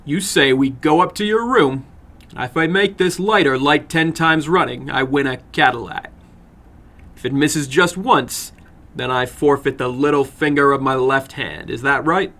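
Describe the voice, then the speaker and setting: Man playing a wary young American, forceful voice. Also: medium pitch, moderate pace, close to an everyday voice. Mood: cautious. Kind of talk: dramatic horror story reading.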